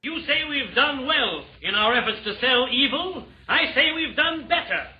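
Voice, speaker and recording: An actor playing a strident, combative villain from hell in rhymed 1940s radio drama. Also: male voice, extremely high pitch, expressive, normal speed. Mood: insistent. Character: shrill, quarrelsome evil veteran of hell, male. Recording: echoey, muffled 1940s radio recording.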